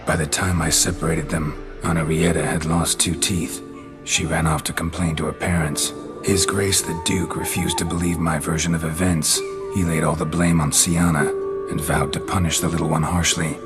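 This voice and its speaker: A gruff, middle-aged monster hunter for a video game. His voice sits very low and gravelly. He speaks in a dry, clipped, deadpan way, with music clearly playing underneath. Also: normal speed. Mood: flat reading aloud.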